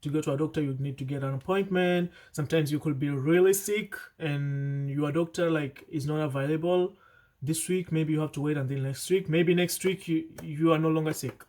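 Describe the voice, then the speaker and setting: A man's voice: a little high, normal speed, darkish tone. A young man talking casually to camera, earnest and chatty, often restarting his sentences and adding asides. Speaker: vlogger. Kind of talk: casual vlog advice to camera.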